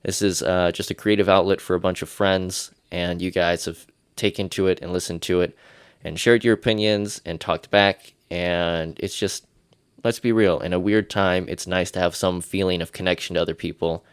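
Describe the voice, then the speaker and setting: Congested low-voiced man rambling on a podcast. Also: steady tone, normal speed, clear, resonant tone, no room sound, studio quality. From Irish. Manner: rambling, self-deprecating, jokey. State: congested, stuffy from sinuses. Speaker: podcast host. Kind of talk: solo podcast chat.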